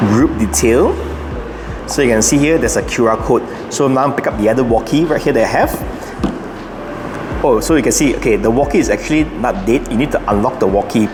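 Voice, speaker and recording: A man hosts a casual, unscripted setup guide, talking himself through each step in short instructions. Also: mid-range voice. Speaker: host of a car channel giving a setup guide.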